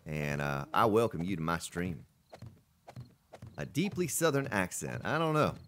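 A man's voice: low-pitched, very animated, measured pace, a bit bright, slight background noise. A man from Florida chatting casually on a live game stream, answering viewers between gameplay remarks. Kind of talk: casual live-stream chat while playing.

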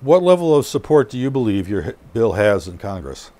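A man's voice: fairly low pitch, very animated, a little fast, dark tone. A man voicing a neutral, probing news interviewer, reading formal questions in a scripted re-enactment. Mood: neutral inquiry.

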